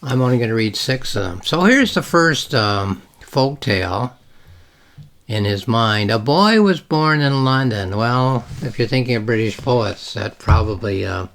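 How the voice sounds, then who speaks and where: Give this voice to a man presenting literature he wrote himself. He speaks at an average male pitch, phrasing things deliberately and leaning into dramatic emphasis on the read passages. His explanations are informal, like an author talking a viewer through the pages. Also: animated, creaky, a bit bright. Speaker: novelist reading from and explaining own novel.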